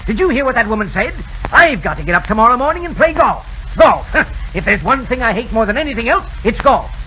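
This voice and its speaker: Gruff, irritable husband in old radio comedy. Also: male voice, very high-pitched, sing-song, fairly quick, pushed and bright, heavily acted. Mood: exasperated, indignant. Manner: gruff, formal, exasperated. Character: irritable, jealous middle-aged businessman and husband, stiff and blustering. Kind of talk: 1930s radio comedy acting. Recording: muffled, hissy 1930s radio broadcast.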